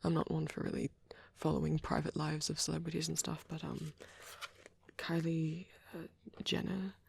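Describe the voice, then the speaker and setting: A woman playing a shy, nervous vampire boy in a soft-spoken ASMR roleplay, with a hesitant, rambling, stammering delivery and a husky voice. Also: deep voice, flat delivery, normal speed, dry recording, full character voice. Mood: rambling, absorbed. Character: shy, nervous young male vampire on a date.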